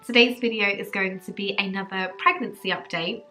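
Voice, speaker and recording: Congested woman, bright chatty voice. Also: medium pitch, steady tone, brisk. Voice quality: nasal. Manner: rambling, many ums and likes. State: congested from a cold, a bit nasal. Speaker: vlogger. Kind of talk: casual chatty update to camera.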